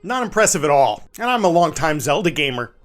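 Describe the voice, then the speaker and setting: A man's voice: fairly high pitch, sing-song, a little fast, fairly bright tone. Theatrical man reading over music. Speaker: narrator. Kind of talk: dramatic reading of negative game reviews. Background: background music.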